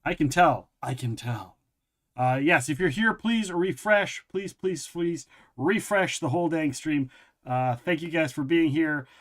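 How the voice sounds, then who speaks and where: A man's voice: fairly high pitch, expressive, a little slow, fairly bright tone. Chatty man hosting a live stream. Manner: chatty, repeats phrases for emphasis; loose, rambling delivery with frequent restarts. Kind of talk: casual live-stream chat while gaming.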